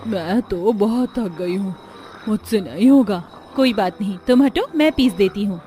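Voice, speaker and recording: A woman playing women of a joint family in a Hindi cartoon story, with expressive, earnest dramatic delivery. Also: mid-range voice, normal speed, slight background noise, lightly acted. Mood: earnest, homely. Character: women of a joint family, dutiful daughters-in-law and mothers-in-law.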